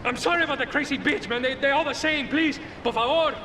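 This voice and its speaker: A man's voice: fast, echoey room, long reverb tail, background noise. A man voicing a panicky cartel thug, pleading frantically in a game cutscene. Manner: pleading, frantic, high-pitched. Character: panicky male Colombian cartel thug, begging.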